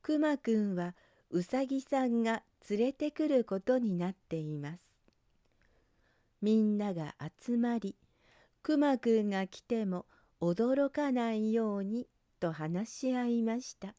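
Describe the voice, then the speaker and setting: A woman storyteller reading a children's picture book aloud in a gentle narrating style. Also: fairly high pitch, animated, slow, dry recording, studio quality. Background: background music.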